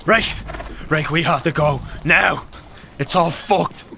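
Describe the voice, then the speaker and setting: An actor playing a blunt, urgent rider barking orders in a dark fantasy audio drama. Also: male voice, on the high side, slow pace, vocal fry, background noise. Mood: urgent, alarmed. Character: urgent, foul-mouthed, commanding horse rider. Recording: music behind.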